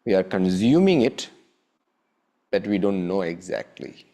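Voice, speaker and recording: Very slow, low-voiced male meditation guide. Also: expressive, warm tone. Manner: measured, with long pauses between short phrases. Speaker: meditation teacher.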